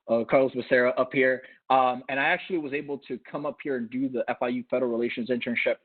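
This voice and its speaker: A man born in Cuba and raised in Miami, speaking on a career panel in a rambling, conversational way with frequent restarts and fillers. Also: mid-range voice. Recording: tinny video call audio.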